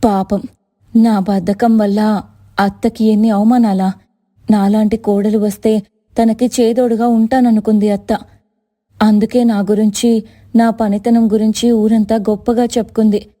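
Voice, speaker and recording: A woman's voice: medium pitch, flat delivery, unhurried, warm tone, close to an everyday voice. A woman voicing a humble woman of a village tailoring family in a cartoon moral story, speaking earnestly. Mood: remorseful. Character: humble women of a village tailoring family, mother-in-law and daughter-in-law. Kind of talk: animated moral story dialogue.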